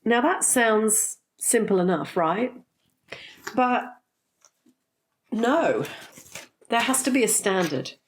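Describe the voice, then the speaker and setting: A woman's voice: a little high, animated, slow pace, pauses often, slight background noise. A middle-aged woman, a bit congested from a cold, talking candidly to her viewers with frequent ums. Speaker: spiritual teacher and vlogger. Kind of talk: casual talk to camera.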